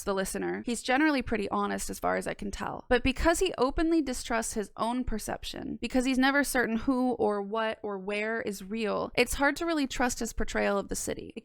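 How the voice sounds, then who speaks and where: Clear young woman with some fry. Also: medium pitch, no echo, clean studio sound. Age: young adult. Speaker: video essayist. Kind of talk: scripted analysis narration. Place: small indoor room. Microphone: close microphone on boom arm.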